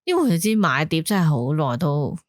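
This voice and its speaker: A woman, co-hosting a podcast in casual chat. She is playful and blunt, often cutting in with quick remarks. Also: low-pitched, big pitch swings, no room sound, studio quality. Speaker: podcast co-host.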